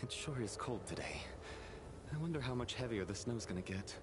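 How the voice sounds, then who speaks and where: Man voicing a friendly theater doorman in a game, lively intonation, mid pitch, polite, clear ambient noise behind. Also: brisk, close to an everyday voice. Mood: courteous. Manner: polite, casual. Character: polite male theater ticket attendant, friendly, chatty. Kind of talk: video game character dialogue.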